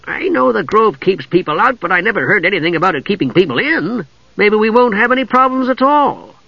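Storybook Kender adventurer, small, male, cheerful and fearless: high, lively, eager rambling, performed by a man, slightly dull recording. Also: brisk, gravelly, no echo, heavily acted.

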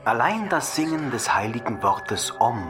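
Measured man speaking over loud music. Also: medium pitch, big pitch swings. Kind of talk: esoteric self-help instruction.

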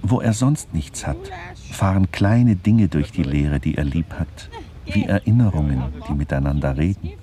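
An actor playing a wry, digressive narrator in a literary radio play, musing deliberately and addressing the listeners. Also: male voice, extremely low pitch, expressive, a crowd in the background. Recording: clearly noisy background.

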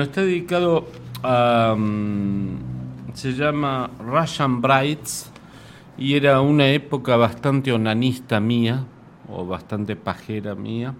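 A man's voice: medium pitch, lively intonation, slow pace. An Argentine man giving a live poetry reading with casual introductions, speaking in a wry, ironic way. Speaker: poet reading own work. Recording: clearly noisy live room recording.

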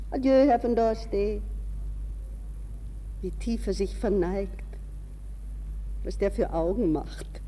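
A woman's voice: mid-range voice, mellow, long reverb tail, a little hiss or hum, natural voice, low-quality recording. A woman playing a restless, ironic young society lady, musing to herself in a radio drama monologue. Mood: scornful. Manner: musing, self-questioning, shifting between reverie and mockery.

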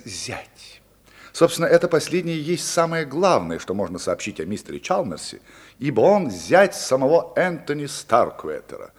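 A male narrator tells a radio drama with swooping, expressive intonation at a middling pitch. He is deliberate and wry, placing dramatic pauses between phrases. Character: male narrator, measured, wry storyteller of high society. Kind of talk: theatrical scripted narration.

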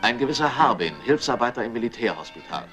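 An actor playing a brisk, authoritative army major in old film noir dialogue. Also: male voice, medium pitch, sing-song, music in the background, natural voice. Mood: matter-of-fact.

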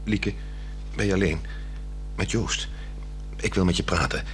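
A man's voice: deep voice, a little slow. A man acting an earnest, stubborn married man in a 1960s radio drama, plain and insistent in delivery. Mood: intent. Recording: noisy old radio recording with hum.

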